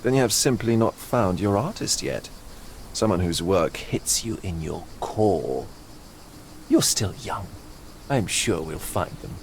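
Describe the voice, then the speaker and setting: An actor playing a genteel, theatrical middle-aged art dealer in a video game, florid and teasing with a sly, probing edge. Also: male voice, low-pitched, lively intonation, slight background noise. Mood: courteous, suave. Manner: florid, teasing, drawn-out phrasing. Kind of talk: video game cutscene acting.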